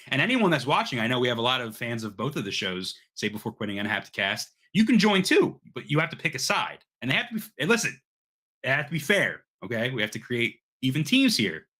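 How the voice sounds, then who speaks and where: A man's voice: medium pitch, pushed and bright. A man hosting a gaming podcast, chatting in an eager, informal way and swearing freely. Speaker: podcast host. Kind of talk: casual gaming podcast chat.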